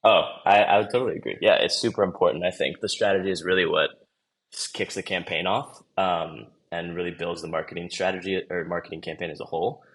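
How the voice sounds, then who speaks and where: A man's voice: deep voice, fairly even intonation, quick pace, fairly bright tone, low-quality recording. A young man from Los Angeles, a podcast guest talking in a loose, easygoing, chatty way. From Los Angeles, USA. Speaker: podcast guest, marketing manager. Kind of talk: casual interview chat.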